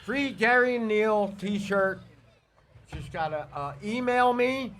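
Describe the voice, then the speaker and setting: A man's voice: high-pitched, slow, a bit bright. A man hosting a casual, unscripted podcast, chatting and calling guests in to ask them questions. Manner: chatty, interviews guests, calls out to people. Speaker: podcast host. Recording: outdoor poolside podcast.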